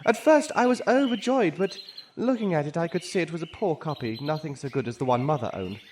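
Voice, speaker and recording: An anxious, trusting rich gentleman , acted by a man, high-voiced and given to swooping melodrama. He frets and exclaims in old-fashioned phrases, in an audio drama with noise and birdsong behind him. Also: expressive, brisk, natural voice. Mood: fretful, wistful.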